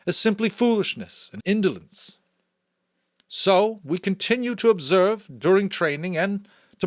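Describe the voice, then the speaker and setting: A stately female chief elder as a male narrator acts her out speaks in a lifted, swooping voice. She is firm, deliberate and commanding, declaiming to her community in a dry recording of a dramatic audiobook. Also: high voice, no echo, voice pushed up.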